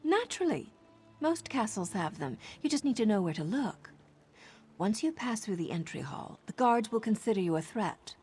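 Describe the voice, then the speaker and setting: A woman's voice: a little high, very animated, moderate pace, darkish tone, slightly rough. A woman playing a confident female assassin informant in a video game, with a sardonic, conspiratorial manner. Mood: conspiratorial, instructive. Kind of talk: video game character dialogue.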